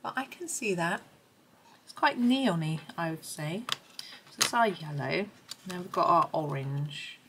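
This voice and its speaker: A middle-aged woman with a slightly croaky voice, chatting casually and thinking aloud as she demonstrates something on camera. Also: fairly low pitch, lively intonation, measured pace, fairly bright tone, slight background noise, rough recording. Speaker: host of a colouring supplies review. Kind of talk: casual chat while demonstrating.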